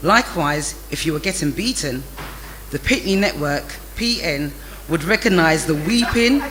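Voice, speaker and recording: A middle-aged man, reading a childhood memoir in an animated, amused way. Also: on the high side, moderate pace, poor audio. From England.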